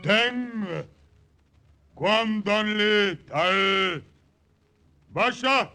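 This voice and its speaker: An actor playing a stern jungle chief who speaks halting, broken English in a 1950s radio drama. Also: male voice, sits high, pushed and bright, soft background music, exaggerated voice. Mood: hostile, commanding. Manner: halting, emphatic, clipped broken phrases. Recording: vintage radio drama recording.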